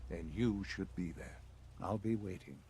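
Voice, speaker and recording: A man playing an elderly, coldly cerebral leader in a video game, speaking formally and deliberately with weighty pauses. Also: low-pitched, noisy background. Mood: formal, approving. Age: older. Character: elderly, measured, coldly cerebral male leader of secretive institute. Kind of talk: dramatic video game dialogue.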